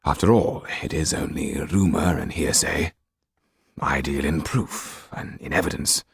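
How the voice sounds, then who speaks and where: A man voicing a weary, dignified old lawyer in a breathy, husky, halting voice for an audiobook. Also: extremely low pitch, exaggerated voice. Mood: regretful.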